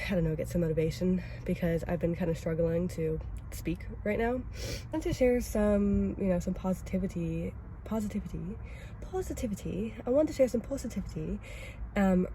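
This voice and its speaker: A young woman with a cold, sounding raspy and stuffy, chatting casually and rambling in a self-critical way as she vlogs from her car. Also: medium pitch, mellow, slight background noise, low-quality recording. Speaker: vlogger host. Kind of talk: casual oversharing vlog talk. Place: inside a parked car.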